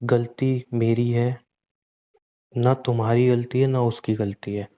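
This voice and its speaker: A man's voice: medium pitch, fairly even intonation, takes it slowly, dark tone, close to an everyday voice. Man acting a weary, guilt-ridden husband, muffled recording. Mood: apologetic, cautious. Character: weary, guilt-ridden cheating husband, resigned yet pleading. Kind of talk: naturalistic Hindi relationship audio drama, staged as a private conversation.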